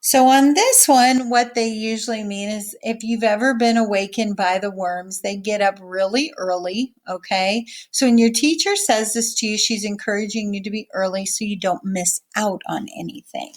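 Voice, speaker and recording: A woman's voice: mid-range voice, normal speed, hardly any pauses. Woman reading aloud and explaining to children. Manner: explains simply, checking in with okay and all right. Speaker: teacher reading a picture book aloud.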